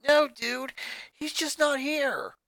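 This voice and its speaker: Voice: high voice, animated, slow pace, no echo, voice pushed up, heavily acted. A fan reader voicing a fifteen-year-old rocker girl who talks in laid-back slang. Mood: excited. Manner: laid-back slang, shouting when upset. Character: excitable teenage rocker girl, says dude. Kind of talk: fanfic reading.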